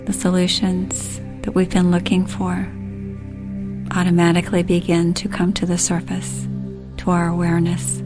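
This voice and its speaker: A woman leading a guided relaxation, speaking soothingly and gently in short, unhurried phrases. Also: on the low side, completely flat delivery, over music.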